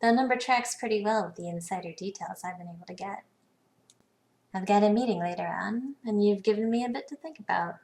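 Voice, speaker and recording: A woman's voice: medium pitch, brisk, creaky, darkish tone, natural voice. An actress playing a confident, probing businesswoman in a video game, with a composed, knowing delivery. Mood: businesslike. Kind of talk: scripted game dialogue.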